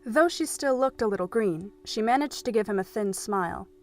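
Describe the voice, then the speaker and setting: A woman's voice: fairly high pitch, lively intonation, moderate pace, a little mellow. A woman narrating a scripted story as the narrator of an audio drama.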